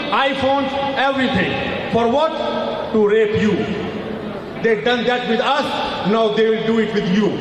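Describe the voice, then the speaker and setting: Emphatic man, echoing over loudspeakers. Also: sits high. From Afghanistan. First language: not English. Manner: emphatic, repetitive, rising to shouts. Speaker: rally speaker. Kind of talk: impassioned political speech. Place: outdoor rally stage over loudspeakers. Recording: echoey loudspeaker sound from far off.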